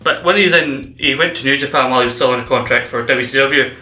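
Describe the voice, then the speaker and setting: A man hosting a podcast with a very bright, forceful voice, joking and teasing as he introduces his co-hosts and tells the story. The sound is roomy and echoey. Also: medium pitch, fast. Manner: jokey, teasing introductions, often laughing. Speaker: podcast host. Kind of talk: comedic wrestling podcast hosting. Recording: echoey room.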